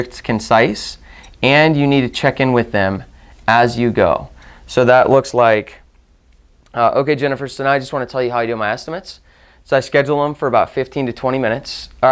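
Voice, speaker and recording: A man's voice: mid-range voice, clear, resonant tone. A man giving instructional talk as a sales trainer, repeating and rephrasing points for emphasis and demonstrating phone-call lines.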